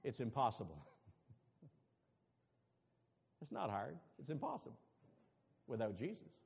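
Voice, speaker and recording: A man preaching a sermon as pastor, dropping to quiet asides and rising to loud, emphatic calls to the congregation. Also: medium pitch, very animated, a little slow, creaky, slightly rough. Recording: long reverb with faint music behind.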